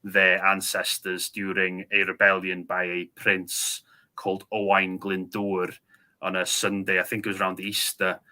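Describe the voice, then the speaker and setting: A man with a North Welsh accent and Welsh as his first language speaks low and steady, his pitch held to a narrow band. His tone is bright and forward despite the depth. He explains things patiently, piling up small fillers as he goes. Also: a little slow. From Wales. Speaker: podcast guest. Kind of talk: casual podcast conversation.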